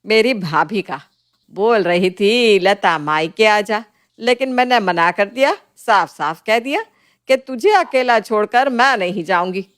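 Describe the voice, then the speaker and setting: A woman's voice: medium pitch, animated, unhurried, natural voice. A woman voicing a bossy, possessive older mother-in-law in a story, with a scolding, emphatic manner. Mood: reproachful.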